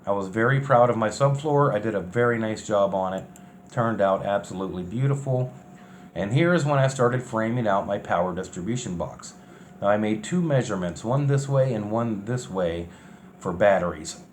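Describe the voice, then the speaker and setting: A man's voice: fairly low pitch, moderate pace. A man giving casual, unscripted narration over DIY build footage in a folksy, colloquial way. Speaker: vlogger recapping a DIY bus conversion. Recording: clear steady background noise, ambience.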